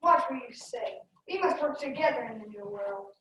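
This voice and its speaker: A woman's voice: high-pitched, lively intonation, moderate pace, a bit bright. A woman playing an earnest, cautious young man who leads settlers, in a readers theater play. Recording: echoey room, distant mic, faint noise.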